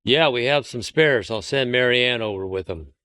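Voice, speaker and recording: A man's voice: medium pitch, moderate pace, pushed and bright. A man speaking as a radio communicator in a scripted two-way training exchange.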